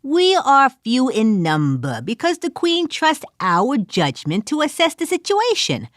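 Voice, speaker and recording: A woman's voice: sits high, huge pitch swings, measured pace, no echo, clean studio sound, pitched above the performer's own voice, exaggerated voice. A woman voicing an earnest adventurer who gives a grand, formal plea for peace.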